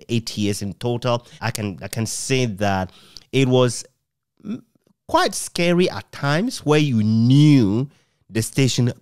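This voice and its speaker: A middle-aged Ghanaian man interviewing conversationally, asking long, measured, reflective questions. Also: fairly low pitch, darkish tone, clean tone, dry recording, clean studio sound. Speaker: interviewer, author. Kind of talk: conversational radio history interview.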